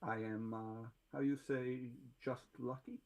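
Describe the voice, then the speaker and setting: A man voicing a blunt Russian-born superpowered man in a tabletop game, with a put-on Russian accent, husky, halting as he gropes for English words. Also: medium pitch, fairly even intonation, a little mellow, natural voice. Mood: matter-of-fact. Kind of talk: tabletop roleplay in character.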